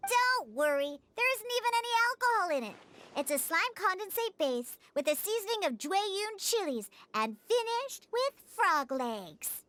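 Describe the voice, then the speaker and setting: A woman's voice: extremely high pitch, very animated, moderate pace, a bit bright, heavily acted. A woman voicing a feisty, proud little cat-girl bartender in a video game, pouty and assertive. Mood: smug.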